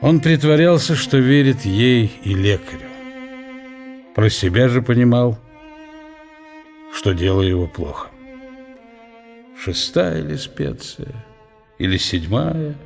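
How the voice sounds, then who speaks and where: A man's voice: on the low side, darkish tone, breathy edge. Reflective male narrator over faint music. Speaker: narrator of a character's thoughts. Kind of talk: scripted reflective narration for a radio drama.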